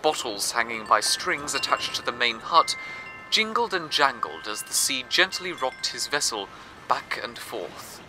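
A man hosting a radio show, telling a story in scripted, wordy, measured narration. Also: medium pitch, very animated, rough recording. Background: music.

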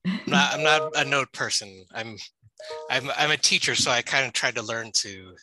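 A man's voice: mid-range voice, moderate pace, a crowd in the background, rough recording. A man in his thirties giving a casual slide presentation on a video call through a headset, chatty with many fillers and restarts. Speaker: library staff presenter.

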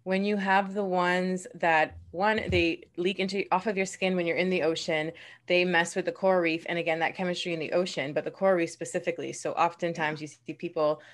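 A woman's voice: fairly low pitch, steady tone. A young woman from the Bahamas, a marine conservationist, explaining earnestly in a casual interview over a video call from a room at home. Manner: earnest, explanatory, quick bursts between slow stretches.

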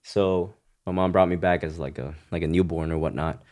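A man's voice: deep voice, fairly even intonation, a little fast, darkish tone. A man in his thirties who grew up in Hong Kong, a podcast guest telling his life story in loose, casual talk full of "you know" and "right?". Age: 30s.

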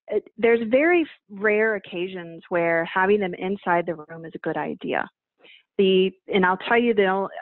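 A woman's voice: medium pitch, dry recording. A woman in her forties, a guest on a video call interview, explaining event planning with emphatic examples and rhetorical questions. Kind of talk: conversational interview answers. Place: home office, indoors. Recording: dry, tinny phone-like video call audio.

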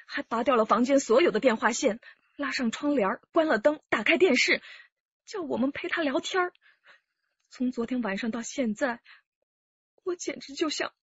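一位女演员在广播剧中扮演精明的年轻上海妻子，也是劫案受害者，声音带气声。. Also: female voice, high-pitched, fairly bright tone, dry recording, muffled recording. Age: young adult. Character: shrewd young Shanghai wife, robbery victim. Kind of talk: radio drama acting.

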